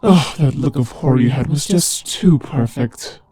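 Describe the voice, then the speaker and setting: A man's voice: medium pitch, unhurried, warm tone, slightly rough. A man playing a teasing, sardonic demon ruler of hell in a scripted roleplay audio drama, with a conversational, theatrical delivery. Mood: amused, teasing.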